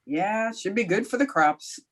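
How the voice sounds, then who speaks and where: Woman acting wry schoolteacher with swooping, lively delivery. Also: medium pitch, measured pace. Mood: wry narration, banter. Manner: dry, sardonic wisecracking. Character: wry, quick-witted female high school English teacher. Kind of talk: old-time radio comedy acting.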